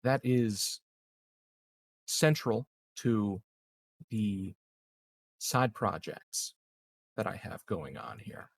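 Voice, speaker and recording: Man playing a gravelly, guarded corporate schemer. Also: lowish voice, animated, slight background noise, heavily acted. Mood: guarded, calculating. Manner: halting, measured, veiled and formal. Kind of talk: improvised tabletop roleplay.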